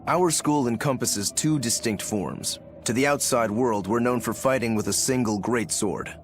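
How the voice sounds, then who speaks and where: A man's voice: medium pitch, moderate pace, faint music behind, close to an everyday voice. A man playing an earnest, easygoing, determined young swordsman in a video game, speaking in a straightforward way. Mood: explaining, earnest. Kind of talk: English video game dub acting.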